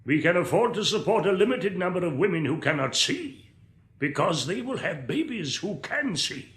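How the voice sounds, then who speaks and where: An actor playing a stern, uncompromising professor, lecturing in a deliberate, emphatic, didactic way in a radio drama. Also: male voice, high voice, a bit bright, exaggerated voice. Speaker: sociology professor giving a speech. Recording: roomy, echoing lecture hall.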